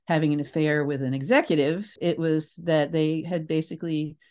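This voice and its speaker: An older woman with a slightly low-set voice speaks as a guest in a relaxed interview. She tells stories with animated emphasis, breaking off mid-thought and wandering into side remarks. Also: moderate pace. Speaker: interview guest, theatre creator. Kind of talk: casual interview storytelling.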